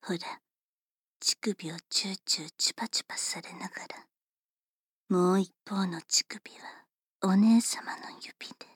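A woman voicing a polite, teasing female servant who attends a young lady, speaking in formal, unhurried whispers for an ASMR roleplay. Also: mid-range voice, breathy edge, no echo, studio quality.

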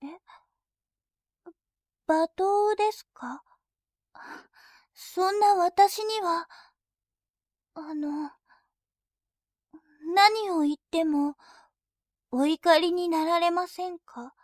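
A woman voicing a composed female bank clerk who speaks in very formal, deliberate language and turns dominating and scornful. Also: very high voice, no echo, clean studio sound, exaggerated voice. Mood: polite teasing. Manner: very formal polite speech, slow and deliberate. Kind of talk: adult audio drama acting.

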